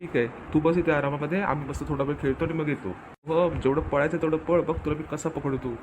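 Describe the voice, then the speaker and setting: Man playing a high-voiced cheerful cartoon dad. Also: steady tone, quick pace, lightly acted. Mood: friendly. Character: cheerful, devoted family father on holiday. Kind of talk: cartoon family comedy dialogue. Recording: clear background noise, ambience.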